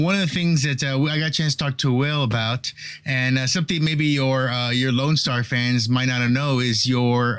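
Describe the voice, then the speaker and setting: A man's voice: medium pitch, a bit bright, clean tone. A man hosting an interview, asking long, rambling questions full of restarts and fillers. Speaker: interviewer host.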